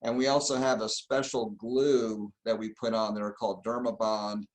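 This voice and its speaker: A man's voice: on the low side, little pitch movement, normal speed. Middle-aged man explaining patiently. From Argentina. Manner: explanatory, easygoing storyteller. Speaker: plastic surgeon, guest. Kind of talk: casual interview.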